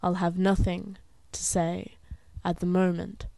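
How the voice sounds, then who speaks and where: A young woman reciting spoken-word poetry in an earnest, measured and dramatic way, with deliberate phrasing. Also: fairly low pitch, level tone, vocal fry, dark tone, dry recording. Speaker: poet.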